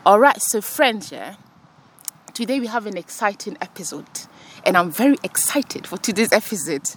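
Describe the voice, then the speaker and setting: A woman from France hosting a podcast, talking casually and warmly with a guest while sitting on grass outside, laughing often and using lots of fillers. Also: mid-range voice, expressive, normal speed, slightly rough. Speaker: podcast host.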